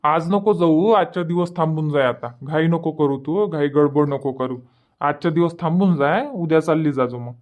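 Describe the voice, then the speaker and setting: A man's voice: on the high side, normal speed, darkish tone, close to an everyday voice. A man playing a reassuring, bossy village elder brother in a cartoon comedy, speaking Marathi with a Varhadi accent and repeating his advice. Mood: advising. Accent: Varhadi Marathi of Vidarbha. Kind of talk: dialect cartoon comedy dialogue.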